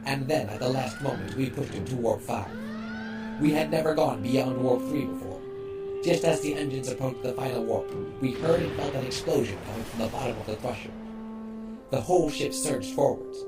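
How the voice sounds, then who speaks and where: A man playing an earnest, measured engineering robot in a sci-fi audio drama. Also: mid-range voice, distant mic, close to an everyday voice, poor audio. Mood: grave report. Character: steady, earnest male engine-room robot, senior partner. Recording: off-mic, loud music behind.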